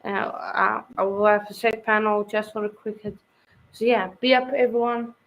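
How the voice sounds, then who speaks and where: A woman hosting a casual sports livestream, talking hesitantly with many pauses while she gives updates and signs off. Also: medium pitch, level tone, vocal fry, a bit bright, slight background noise, poor audio. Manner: hesitant, many fillers, wraps up the show. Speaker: stream host.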